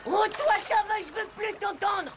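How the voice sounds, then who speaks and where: A woman's voice: very high voice, moderate pace, noisy background. A woman voicing a cheeky, whiny little schoolboy in a French cartoon, full of slangy exclamations. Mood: indignant. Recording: music behind the voice.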